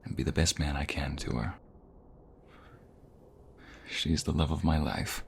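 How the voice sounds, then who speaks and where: Very deep, gravelly protective mobster played by a man. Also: fairly even intonation, a little slow, a little hiss or hum, exaggerated voice. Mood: earnest, resolute. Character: protective reformed mobster, devoted tender fiancé. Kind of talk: ASMR roleplay acting.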